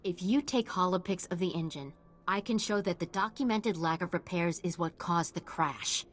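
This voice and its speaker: An actress playing a principled, plain-spoken military officer in a video game, frank and sardonic. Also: female voice, mid-range voice, natural voice. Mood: urgent, businesslike.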